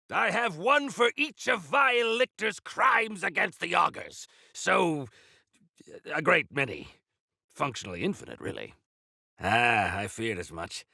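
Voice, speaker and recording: A zealous exiled rebel for a video game, swinging wildly in pitch with a forceful, piercing edge. He is grandiose and eccentric, drawing out his florid, old-fashioned phrases at an unhurried pace. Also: creaky, no echo, studio quality, heavily acted. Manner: bombastic, archaic florid phrasing. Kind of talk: theatrical video game character lines.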